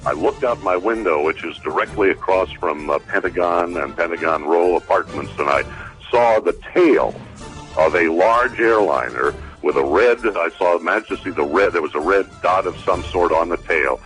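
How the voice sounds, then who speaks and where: Deep-voiced man recounting haltingly. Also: expressive, a bit bright, faint music behind, rough recording. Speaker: eyewitness. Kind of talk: spontaneous account.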